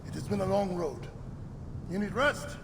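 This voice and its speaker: An actor playing a jovial, hearty friend in a video game cutscene, chuckling and expressive. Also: male voice, sits high, normal speed. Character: warm jovial male friend and local helper. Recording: game cutscene with clear background noise.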